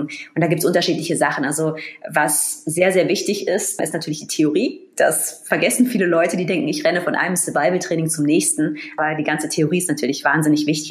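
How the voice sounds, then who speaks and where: Woman, thirties, very low pitch, bilingual, interview guest, animated, many fillers, echoey room. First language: bilingual German and English. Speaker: guest, astrophysicist in astronaut training. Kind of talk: casual interview chat.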